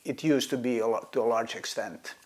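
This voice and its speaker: A man's voice: medium pitch, a little fast, darkish tone. A man hosting an interview in a casual, friendly chat, often repeating words as he finds them. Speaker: host.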